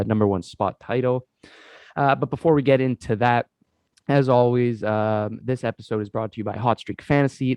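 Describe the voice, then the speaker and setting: A man's voice: lowish voice, clean tone, no echo. A man hosting a casual sports podcast, congested from a cold, rambling with lots of fillers as he talks to his co-host. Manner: rambling, many "uh" fillers, keeps addressing co-host.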